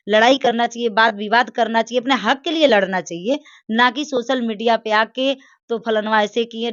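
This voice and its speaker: A woman's voice: fairly high pitch, dry recording. A woman giving emphatic opinions in a conversational interview, repeating phrases for stress. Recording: dry, dull lo-fi sound.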